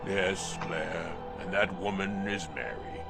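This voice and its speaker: Deep-voiced, gentle saint. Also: male voice, over loud music. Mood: solemn, kindly. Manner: reverent, measured storytelling. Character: gentle, devout male saint, apostle visiting a child. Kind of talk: children's audio drama acting.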